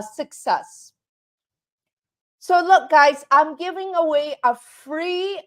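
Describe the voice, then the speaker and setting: Woman from Canada, high voice, unhurried delivery; teacher leading a live online class. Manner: repeats "right?" to check in with viewers. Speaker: English teacher.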